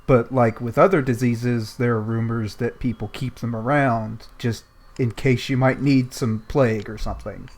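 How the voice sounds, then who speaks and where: A man's voice: medium pitch, fairly even intonation, normal speed, few pauses. A man on a podcast panel, talking casually about a game. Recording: music behind the voice. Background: music.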